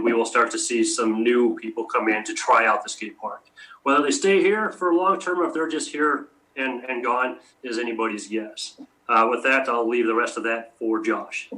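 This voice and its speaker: A man answering questions as city staff at a public meeting, formal and deferential but halting and tentative. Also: medium pitch, steady tone, pushed and bright, poor audio.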